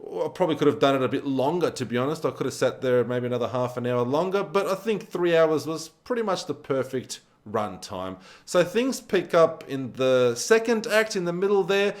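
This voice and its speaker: A man's voice: fairly high pitch, lively intonation, moderate pace, hardly any pauses. A man reviewing a film in a casual, conversational style, repeating words for emphasis. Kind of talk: casual spoken movie review to camera.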